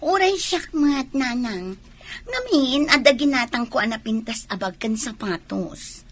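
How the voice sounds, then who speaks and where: An actress playing a breezy, coaxing grown daughter in a radio drama. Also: female voice, fairly high pitch, very animated, unhurried.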